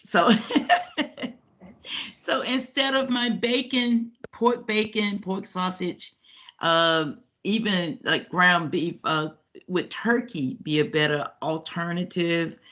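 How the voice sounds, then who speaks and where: Bright playful Southern woman. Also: fairly low pitch, steady tone, takes it slowly. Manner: playful, joking, laughs a lot. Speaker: meeting participant. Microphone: video call.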